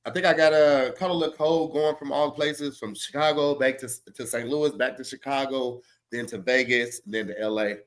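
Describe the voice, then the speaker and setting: A man co-hosting a podcast, stuffy with a cold, talking in a loose, joking way and reading an ad with drawn-out emphasis. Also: medium pitch, fairly even intonation. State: stuffy, congested. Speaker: podcast co-host. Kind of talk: casual chat and read ad.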